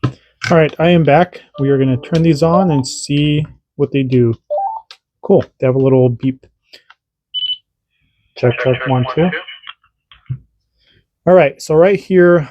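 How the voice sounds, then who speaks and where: Mellow-voiced man demoing walkie-talkies. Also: fairly high pitch, a little slow, long pauses. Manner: chatty, repeats himself, radio checks. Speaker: radio hobby channel host. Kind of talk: casual demonstration tutorial.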